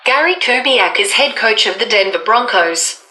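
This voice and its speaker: A woman's voice: fairly high pitch, expressive, moderate pace, bright, forceful tone, echoey room. A synthetic woman's voice as a phone assistant reading out answers, played through a phone speaker.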